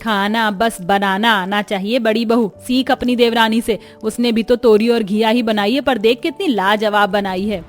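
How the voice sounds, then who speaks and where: A woman's voice: a little high, fairly even intonation, normal speed, hardly any pauses, close to an everyday voice. A woman voicing a stern, thrifty village mother-in-law in a cartoon, scolding and insistent, over music. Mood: chiding. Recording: music under the voice.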